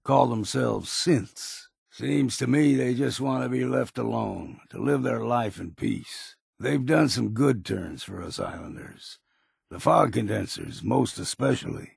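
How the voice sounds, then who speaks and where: Game companion role: weathered older island guide, heavy fry and rasp, blunt folksy drawl, wary and gruff. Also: male voice, mid-range voice, measured pace, dry recording, studio quality, heavily acted. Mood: steady, watchful. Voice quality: gravelly, raspy. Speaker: companion character in a video game. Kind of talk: video game voice lines.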